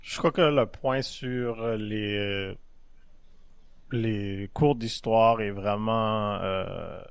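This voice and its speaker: Young guy hosting, kinda low voice, lots of umms, dry close sound. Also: steady tone, vocal fry, clean tone, no echo. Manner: halting, full of hesitations. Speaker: podcast host. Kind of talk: casual podcast talk.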